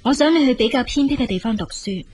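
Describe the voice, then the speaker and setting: An actress playing a practical, dutiful elder sister in a Cantonese radio drama, frank, brisk and reassuring. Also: female voice, sits high, animated, a little mellow, husky. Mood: earnest, candid.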